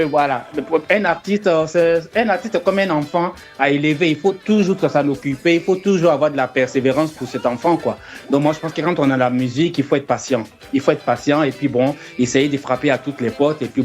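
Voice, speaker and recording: Male musician guest, steady, sincere delivery, a bit higher register. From Côte d'Ivoire. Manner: earnest, measured, fillers like quoi. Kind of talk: radio interview remotely.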